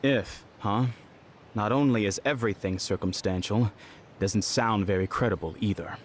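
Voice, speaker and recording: An actor playing a cool, skeptical, proud young man in a video game, speaking in a measured, formal way. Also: lowish voice, slight background noise, close to an everyday voice. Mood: cool skepticism.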